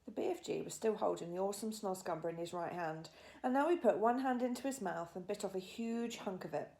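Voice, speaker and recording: A woman narrating a children's bedtime story as an expressive storyteller. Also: medium pitch, brisk, creaky.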